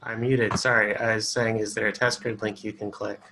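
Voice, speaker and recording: A man on a video call calmly explaining details in a casual meeting. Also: on the low side, a little fast. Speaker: meeting participant.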